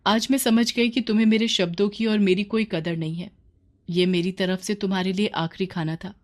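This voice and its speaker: A woman voices a young village wife in a cartoon moral tale. She is hardworking and fed up, and speaks with plaintive, emphatic reproach at a medium pitch. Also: fairly even intonation, moderate pace, natural voice. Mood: reproachful. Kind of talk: animated moral story dialogue.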